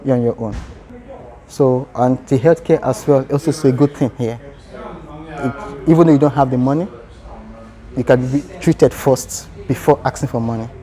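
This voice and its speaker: Mellow-voiced Nigerian man. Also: medium pitch. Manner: earnest, explains in short restarting phrases. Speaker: interviewee. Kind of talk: casual interview answers.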